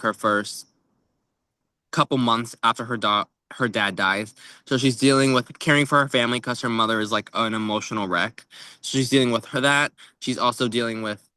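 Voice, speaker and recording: A man with a bright, clear-edged voice co-hosts a book podcast. He talks casually and informally, feeling his way through phrases with plenty of "like". The sound is close and dry, at an average male pitch. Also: no echo. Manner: informal, tentative phrasing with frequent "like". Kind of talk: casual chat about books.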